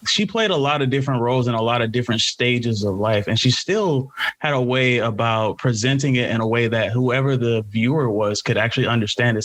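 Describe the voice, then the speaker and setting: A man's voice: mid-range voice, background noise. A man co-hosting a podcast, talking in a laid-back, slangy, profane way. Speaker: podcast co-host. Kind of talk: casual chat.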